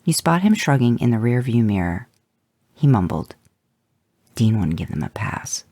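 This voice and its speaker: A podcast producer reading fan fiction aloud as a podfic, expressive and voicing the characters. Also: mid-range voice, creaky, no echo.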